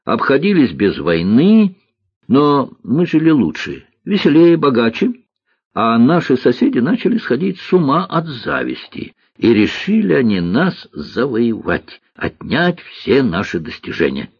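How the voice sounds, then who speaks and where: Man playing a husky, dogmatic army cook woman. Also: mid-range voice, very animated, unhurried, dry recording, highs cut off, voice pushed up, exaggerated voice. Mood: earnest, dogmatic. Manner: sighing, earnest, parroting slogans. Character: fat, kindly but dutiful army cook woman, dogmatic. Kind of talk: audiobook character voice, children's science fiction.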